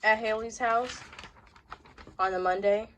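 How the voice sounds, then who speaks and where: Woman reading her kids story out loud, high voice, bit of noise behind. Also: fairly even intonation, measured pace. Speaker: author reading own story aloud. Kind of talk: storybook narration.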